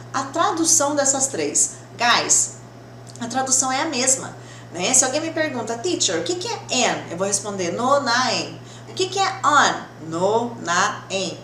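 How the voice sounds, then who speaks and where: A woman's voice: sits high, fairly bright tone. A Brazilian woman teaching English in a conversational, reassuring and didactic way, often checking that learners follow. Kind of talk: conversational grammar lesson to camera. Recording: roomy echo, clear background noise.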